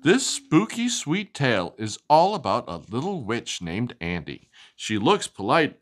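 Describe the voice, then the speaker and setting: A woman hosting a children's read-aloud, sing-song and expressive, who asks the child questions. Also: a bass voice. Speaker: host reading storybooks to a child.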